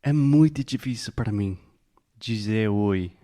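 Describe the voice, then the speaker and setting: A man co-hosting a language podcast, a learner himself, who asks measured questions and slows down on key phrases. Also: fairly low pitch, dark tone. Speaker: podcast co-host and Portuguese learner. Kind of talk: casual teaching chat.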